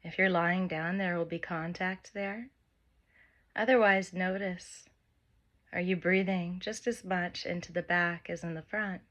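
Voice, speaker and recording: A woman guides a meditation in a lowish voice that stays very level. She speaks very slowly, in gentle, short phrases with long pauses, giving soft instructions. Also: fairly even intonation, muffled recording. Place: by the open air with wind and birds.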